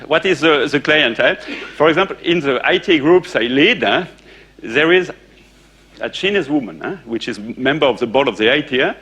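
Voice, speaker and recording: A man lectures a conference audience in English. He talks haltingly and restarts his sentences often, with plenty of uh and eh. Now and then he stops to ask the room a question. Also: mid-range voice, expressive, sharp tone, breathy edge, dull audio. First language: French. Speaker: conference speaker.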